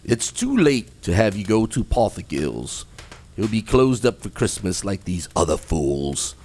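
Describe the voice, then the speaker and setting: Man acting a gruff old miser with swooping pitch. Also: sing-song, a little slow, a little mellow, exaggerated voice. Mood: grumbling, impatient. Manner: gruff, scornful, curt. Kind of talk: radio play acting.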